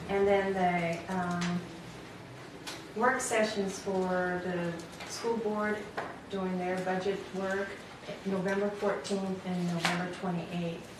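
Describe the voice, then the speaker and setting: A woman's voice: fairly low pitch, steady tone, slow. A woman giving information informally as a participant in a public meeting. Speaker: town meeting participant sharing schedule information. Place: indoor meeting room. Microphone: distant room microphone. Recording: very echoey, distant, loud background noise.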